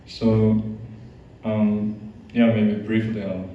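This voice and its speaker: A man's voice: on the low side, flat delivery, extremely slow, a little hiss or hum. A young man from Austria with an Austrian accent, giving an informal, hesitant talk full of fillers and restarts to a youth group. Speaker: guest speaker, monk. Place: large echoey hall. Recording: echoey, distant, dull hall recording.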